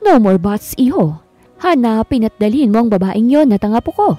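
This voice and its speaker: A woman's voice: fairly high pitch, animated, normal speed, mellow, dry recording, faint music behind. A woman doing a commanding rich old father. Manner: authoritative, insistent. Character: wealthy older man, commanding grandfather-to-be.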